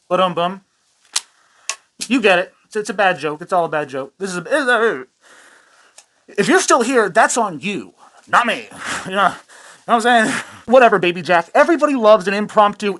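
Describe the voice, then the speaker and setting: A man's voice: sits high, fairly bright tone. A man hosting a satirical commentary show, ranting in a sarcastic, rambling way, swearing freely and amusing himself. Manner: sarcastic, rambling, self-amused, swears a lot. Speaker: host of a satirical commentary channel. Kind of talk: profane satirical rant.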